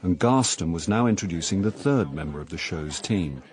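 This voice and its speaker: An actor playing a wry middle-aged actor turned amateur sleuth, narrating a radio drama in a dry, sardonic way. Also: male voice, sits low, lively intonation, normal speed, darkish tone. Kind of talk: radio drama, first-person narration and dialogue.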